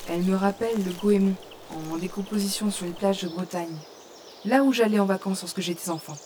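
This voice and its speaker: An actress playing a novice archer in a virtual world, narrating in a contemplative, observant way in an audio drama. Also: female voice, mid-range voice, a little fast, warm tone, slight background noise, natural voice. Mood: intrigued, wary. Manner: contemplative, immersed. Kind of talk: French audio fiction, descriptive first-person narration. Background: forest ambience with birds and insects.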